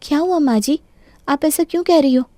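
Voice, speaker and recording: Woman playing a high-voiced, sugary young bride. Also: fairly quick, dark tone, no echo, studio quality, natural voice. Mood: mock innocent. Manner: mock-innocent, pleading, sugary. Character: sly, sweetly apologetic young daughter-in-law. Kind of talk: Hindi cartoon story voice acting.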